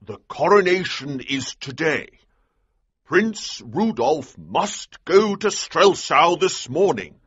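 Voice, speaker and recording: A man voicing a bold, commanding army colonel in an audiobook, with a husky voice and an urgent, emphatic delivery. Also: fairly high pitch, sing-song, takes it slowly, fairly bright tone, no echo, highs cut off, pitched above the performer's own voice, exaggerated voice. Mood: insistent. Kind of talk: audiobook character dialogue.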